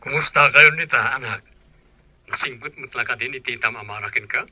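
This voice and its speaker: A man's voice: mid-range voice, brisk, sharp tone, husky. An actor playing a caring father in a Filipino radio drama, with a Filipino accent. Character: caring father speaking to his daughter.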